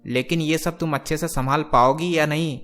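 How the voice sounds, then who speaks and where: A man playing a firm, fair middle-aged businessman father in a cartoon story, speaking in a measured, authoritative way. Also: fairly high pitch, fairly even intonation, fairly bright tone, faint music behind, natural voice. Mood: firm, reasoning. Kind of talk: animated moral story dialogue.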